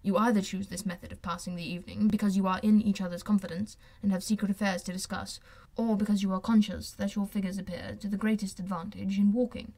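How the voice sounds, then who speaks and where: A woman voicing a proud, reserved high-society gentleman in an audiobook, speaking in a measured, formal, restrained way. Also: little pitch movement, lightly acted. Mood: cool. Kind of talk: audiobook character voice.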